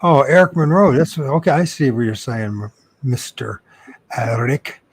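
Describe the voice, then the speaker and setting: Excitable, lively man co-hosting. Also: mid-range voice, normal speed, breathy edge, no room sound. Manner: excitable, playful shouts and laughs. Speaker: co-host. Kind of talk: casual live-stream chat.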